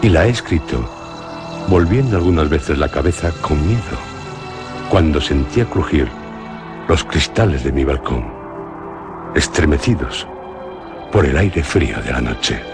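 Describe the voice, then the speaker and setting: A man narrating a literary horror tale in a solemn, deliberate manner. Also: a bass voice, animated, music in the background.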